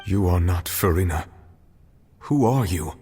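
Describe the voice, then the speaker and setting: A man acting a grave, formal, commanding authority figure in a video game, speaking in a measured, solemn manner. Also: deep voice, animated, dark tone. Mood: stern, bewildered.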